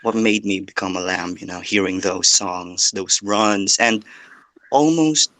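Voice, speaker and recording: A man from the Philippines talks earnestly in a casual fan chat. His voice sits low with a gravelly edge. The sound is dry and close. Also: unhurried, a bit bright, no echo. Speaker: fan club member on a group call.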